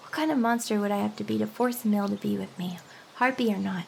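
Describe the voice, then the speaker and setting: A woman's voice: mid-range voice, fast talker, gravelly, a little mellow, slight background noise. A woman voicing a lovelorn young female harpy in an anime ASMR roleplay, earnest and stammering, sometimes dropping into whispers. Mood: gentle, apologetic. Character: hyper, lovelorn young female harpy desperately courting a human.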